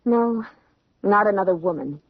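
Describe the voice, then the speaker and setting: A woman's voice: mid-range voice, expressive, normal speed, dry recording. An actress playing a proud, emotional young woman in a radio drama, indignant and theatrical. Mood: bitter, weary. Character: proud, defiant, distressed young engaged woman. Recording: dull vintage radio recording.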